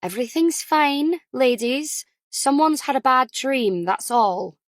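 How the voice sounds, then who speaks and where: Woman playing a crisp, firm night nurse. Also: high-pitched, expressive, takes it slowly, no echo, clean studio sound, pitched above the performer's own voice. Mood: firm, reassuring. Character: brisk female night nurse, firm, professionally soothing.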